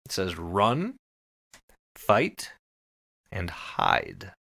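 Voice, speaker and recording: A man hosting a podcast, giving a casual, profanity-laced story recap to his co-hosts in an animated way. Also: low voice, extremely slow, gravelly. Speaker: podcast host.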